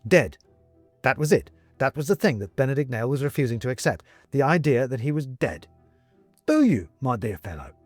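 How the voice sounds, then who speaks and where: A man narrating a scripted story as an audiobook reader, with wry, deliberate, emphatic phrasing. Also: mid-range voice, no room sound, faint music behind.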